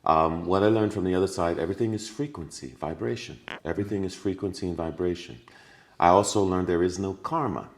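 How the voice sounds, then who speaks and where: A man's voice: deep voice, brisk. A man speaking as a podcast guest, giving earnest spiritual advice and repeating key words for emphasis.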